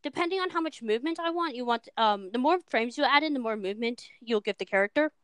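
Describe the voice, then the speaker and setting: A woman's voice: fairly high pitch, animated, fast, a bit bright, clear, resonant tone, dry recording. A seventeen-year-old girl from Minnesota chatting as a guest about her animation work, on a cheap microphone, with lots of ums. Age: teens. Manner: chatty, filler-heavy, self-deprecating. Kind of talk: casual chat.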